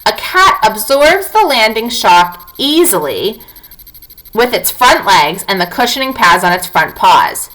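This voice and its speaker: A woman's voice: a little high, big pitch swings, a little slow, fairly bright tone, low-quality recording. A woman teacher reading a non-fiction book aloud to young children, talking to them directly with questions and prompts.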